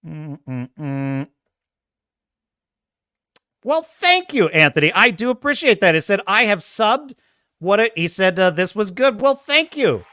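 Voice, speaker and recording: A man's voice: sits high, big pitch swings, takes it slowly, pauses often, sharp tone, clear, resonant tone, no echo, studio quality. A man hosting a casual livestream, chatting and reading viewer comments, with a self-described whiny, nerdy voice, rambling and laughing often. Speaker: host.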